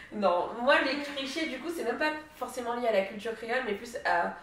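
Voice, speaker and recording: A woman's voice: on the high side, expressive, moderate pace, fairly bright tone. A young woman from French Guiana talking casually in a conversation, chatty, with frequent hesitations. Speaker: association member in discussion. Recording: echoey room, distant mic.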